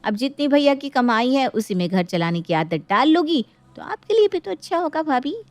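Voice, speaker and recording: A woman's voice: high voice, sing-song, moderate pace, no echo. A woman voicing a greedy, bossy younger sister in a dramatized moral story, taunting and mock-polite as she orders her family about.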